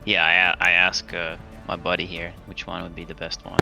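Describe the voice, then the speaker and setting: A man's voice: sits low, completely flat delivery, moderate pace, creaky, lo-fi sound, over loud music. A man talking casually as a player in a tabletop roleplaying game.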